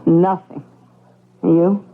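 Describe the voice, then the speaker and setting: A woman's voice: fairly low pitch, level tone, extremely slow, full character voice. A woman acting an anxious, loyal working-class American wife in an old-time radio drama, hesitant and coaxing. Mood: apologetic, hesitant. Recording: old radio broadcast, thin narrow band.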